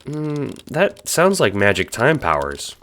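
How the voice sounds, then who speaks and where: A man acting in an audio drama as a casual, wry young hero and chatty narrator, with an expressive delivery. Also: mid-range voice, takes it slowly, background noise, full character voice. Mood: incredulous, wry.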